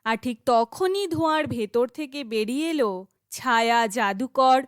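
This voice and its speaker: Casting: female storybook narrator, high pitch, slow scripted fairytale delivery. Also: expressive, smooth, dry recording, studio quality.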